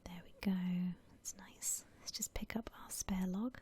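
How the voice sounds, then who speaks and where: A woman doing whispered ASMR commentary over a video game, gentle and unhurried in a breathy whisper. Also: medium pitch, steady tone. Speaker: video game streamer narrating her building session.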